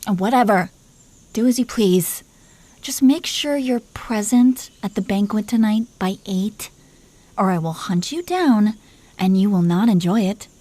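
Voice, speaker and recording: Mellow, possessive dragon queen played by a woman. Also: medium pitch, natural voice. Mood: commanding, possessive. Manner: playful, commanding, mock-sweet. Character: possessive, teasing dragon queen, dominant yet doting wife. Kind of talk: intimate roleplay audio acting.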